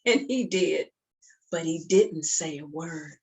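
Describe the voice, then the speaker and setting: A woman reading a story aloud to young children in an expressive, deliberate, interactive storytime style. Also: low-pitched. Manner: expressive, deliberate, with acted sound effects and call-and-response.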